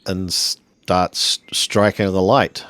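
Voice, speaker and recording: A man playing a tabletop roleplaying game, narrating his character's actions haltingly as he searches for words. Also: low voice, little pitch movement, fairly bright tone, dry recording.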